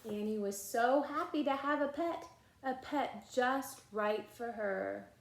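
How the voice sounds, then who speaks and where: Echoey, slow-paced woman reading to children. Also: on the high side, vocal fry, slight background noise. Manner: expressive, emphatic, drawn-out reading for young children. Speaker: read-aloud storyteller. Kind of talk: children's picture book read-aloud with casual asides. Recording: echoey room.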